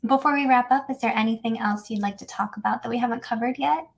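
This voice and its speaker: A woman hosting a podcast, putting prepared questions to her guest in a brisk way. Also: fairly high pitch, gravelly. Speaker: podcast host. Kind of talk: scripted interview questions.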